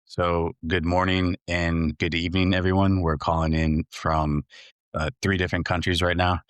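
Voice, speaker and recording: A man's voice: deep voice, level tone, normal speed, smooth, no room sound. A man co-hosting a podcast, talking emphatically and opening the interview. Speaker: podcast co-host. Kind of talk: conversational podcast talk.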